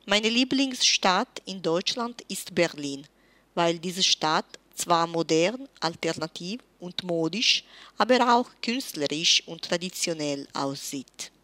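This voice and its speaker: A woman in her forties from Italy, with an Italian accent and Italian as her first language, giving a careful, measured self-introduction as a German teacher. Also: on the low side, lively intonation, a bit bright, no echo. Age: 40s. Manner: careful, measured, slightly formal.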